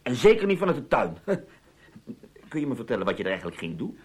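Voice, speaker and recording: A sardonic husband in a radio drama, played by a man, needling and incredulous. His voice is husky and swings widely in pitch, with a mocking edge. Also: very animated, fast. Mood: sarcastic accusation. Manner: sardonic, mocking pet names. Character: sarcastic husband, needling, incredulous, coolly accusing his wife. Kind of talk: Dutch radio play dialogue.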